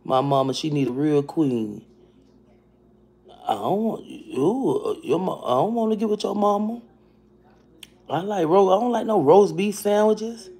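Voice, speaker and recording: A woman's voice: measured pace, long pauses, breathy edge. A woman, chatting bluntly and profanely with viewers on a phone livestream from her kitchen. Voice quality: deep voice for a woman. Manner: blunt, profane, animated, talks to viewers by name. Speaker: livestream host. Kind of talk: casual livestream chat reading comments. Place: kitchen at home, indoors. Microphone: phone camera at arm's length.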